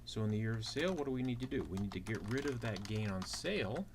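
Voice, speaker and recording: A man's voice: fairly low pitch, animated, fast. A man giving an instructional walkthrough as a teaching assistant, explaining step by step with rhetorical questions. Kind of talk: instructional walkthrough of a worked problem. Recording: clear background noise with keyboard typing.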